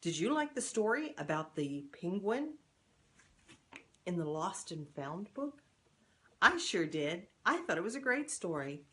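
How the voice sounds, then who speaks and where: Older female storyteller, grandmother type, slightly low register, highly varied intonation, simple direct delivery for young children. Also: sing-song, measured pace, sharp tone. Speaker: grandmother reading aloud to grandchildren. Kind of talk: expressive children's storybook read-aloud.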